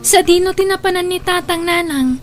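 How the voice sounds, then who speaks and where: A woman's voice: very high voice, normal speed, over music. A woman playing an inquisitive young daughter in a radio drama. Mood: puzzled, questioning. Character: inquisitive young daughter questioning her mother. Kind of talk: Ilocano radio drama acting.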